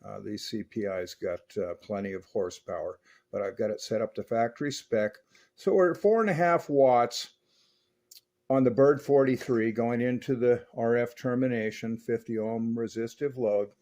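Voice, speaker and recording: Man, medium-pitched voice, review host, technical demonstration, measured step-by-step explanation. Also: a little mellow. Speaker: host of a radio equipment review channel.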